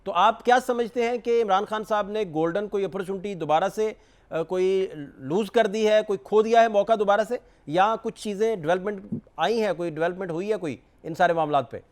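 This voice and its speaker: A man's voice: high voice, moderate pace. A man with a Pakistani accent hosting a political talk show, putting questions politely to his guest. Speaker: television talk show host.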